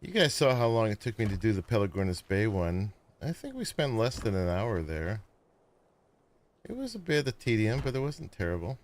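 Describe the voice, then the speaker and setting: A man casually chatting to viewers as he plays and builds in a survival game, with a sleepy voice and a relaxed, rambling manner. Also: lowish voice, moderate pace, slight background noise. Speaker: gaming streamer. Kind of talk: casual gameplay commentary.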